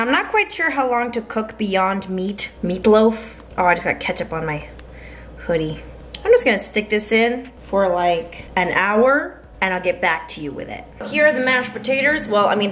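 A woman's voice: medium pitch, fairly bright tone. Chatty young woman vlogging in an echoey kitchen. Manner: chatty and upbeat, thinking aloud while cooking. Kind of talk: casual cooking vlog chat. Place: small home kitchen and apartment indoors. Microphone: handheld camera close to face. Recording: echoey room with faint background noise.

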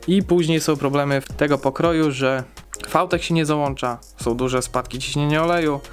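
A man's voice: on the high side, fairly even intonation, fairly quick, faint music behind. A young man in his twenties explaining a car engine repair step by step in a casual, chatty way, with frequent fillers.

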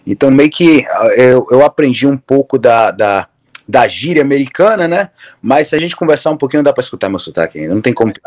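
Brazilian man, 30s, video-call guest, relaxed, rambly with restarts. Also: medium pitch, fairly quick. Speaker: interview guest, MMA coach. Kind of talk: casual video-call interview. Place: room at home, indoors.

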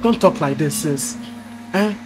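A man's voice: a little high, animated, measured pace. A man playing a desperate, pleading brother. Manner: coaxing, adds a questioning "eh?". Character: worried brother pleading with his dying sister. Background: loud music.

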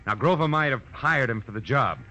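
An actor with an American accent playing a tough, wry private detective, terse and slangy, in 1940s radio style. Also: male voice, mid-range voice, expressive, brisk, bright, forceful tone. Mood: urgent. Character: hard-boiled male private detective narrator, tough and wry. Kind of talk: 1940s radio acting, first-person detective narration. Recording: dull old radio recording with music.

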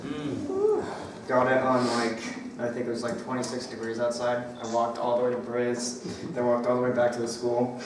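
A man's voice: mid-range voice, big pitch swings, normal speed, noisy background. Rambling young man at an open mic. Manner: rambling, hesitant, many "like"s. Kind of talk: casual stage banter before a song. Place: small gallery room, indoors. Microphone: stand mic, filmed by a distant camera. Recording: echoey room from a distant camera, guitar.

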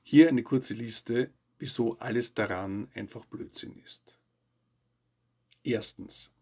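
A man in his fifties from Austria hosting a weekly news show, giving scripted commentary to camera in measured, formal sentences. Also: medium pitch, darkish tone, slightly rough.

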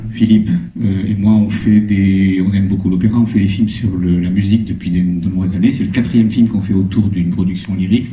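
A middle-aged man with a dark, mellow voice, pitched a little low, speaks haltingly into a handheld mic. He is heard from the back of a big, echoey cinema hall. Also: far from the mic. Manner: halting, many restarts and fillers. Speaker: film producer at a screening Q&A. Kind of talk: casual onstage talk to an audience. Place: large cinema hall, on stage. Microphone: handheld microphone over the hall PA.

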